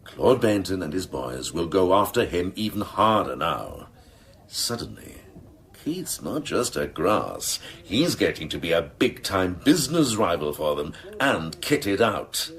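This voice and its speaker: A scheming senior policeman in a radio drama. His voice is extremely low and gravelly, and it swoops with theatrical, cynical relish as he savours every sardonic phrase. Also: big pitch swings, a little slow, full character voice. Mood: gleeful, emphatic. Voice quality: very deep, gravelly.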